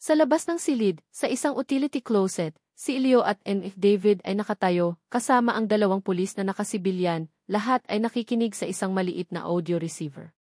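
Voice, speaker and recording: A woman's voice: medium pitch, brisk, a little mellow, no room sound, studio quality. A woman with a Filipino accent reading a dramatic scripted story as its narrator.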